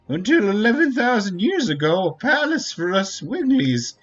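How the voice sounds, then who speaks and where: A man's voice: high-pitched, a bit bright, lo-fi sound, full character voice. A man voicing an ancient, solemn Wingly elder, wise and formal, in a dramatic read-aloud of a fantasy video game. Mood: solemn, storytelling. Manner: slow, grave, formal delivery. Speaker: game streamer. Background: faint game music.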